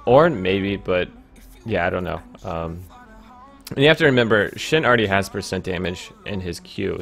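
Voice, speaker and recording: A man's voice: low-pitched, fairly bright tone. A young man with a sore throat and a stuffy nose, chatting casually about game changes with rambling, upbeat energy. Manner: rambling and upbeat, many fillers. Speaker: gaming YouTuber. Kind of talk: casual game commentary over screen capture.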